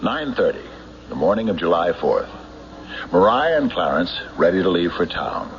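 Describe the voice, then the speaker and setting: An actor playing a stubborn small-town county sheriff in a 1970s radio drama, speaking in an emphatic, insistent, deliberate way. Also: male voice, low-pitched, sing-song, slight background noise. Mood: urgent reasoning. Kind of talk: 1970s radio drama acting, logbook narration and dialogue. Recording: dull 1970s radio recording, music behind.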